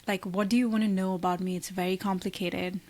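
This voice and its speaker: A woman's voice: mid-range voice, little pitch movement, quick pace, a little mellow. A woman in her twenties from India, co-hosting a podcast in casual chat, thinking aloud with many likes and restarts. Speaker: podcast co-host.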